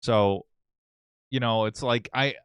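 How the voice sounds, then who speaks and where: A man's voice: mid-range voice, fairly even intonation, slow, fairly bright tone, clean tone, no echo. Man in his thirties, podcast guest; matter-of-fact and philosophical, resonant voice, dry sound. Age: 30s. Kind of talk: casual podcast chat.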